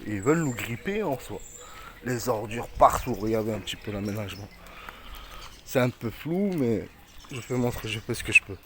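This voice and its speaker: A man filming himself on a phone outdoors near his home, rambling and agitated, rising to shouted accusations. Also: on the low side, lively intonation, normal speed. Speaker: vlogger. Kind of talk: rambling phone-filmed rant. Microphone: phone camera. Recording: roomy distant outdoor phone recording with loud ambient noise.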